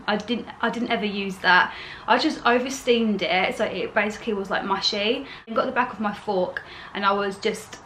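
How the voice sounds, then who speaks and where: A young mum talks straight to her viewers in a chatty, earnest way, circling back and repeating her points. Her throat is a little sore, and her voice sits at a mid pitch. A faint hum of room ambience runs underneath. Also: fairly bright tone. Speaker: parenting vlogger. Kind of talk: casual advice vlog to camera.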